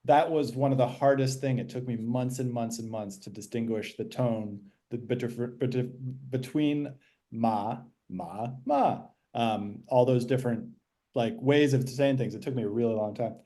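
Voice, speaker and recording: An easygoing male podcast host talks at a middle pitch, musing out loud and circling back on his phrasing as he asks questions. His tone stays conversational throughout. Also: a little slow. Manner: conversational, thinks aloud with restarts. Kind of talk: casual podcast interview.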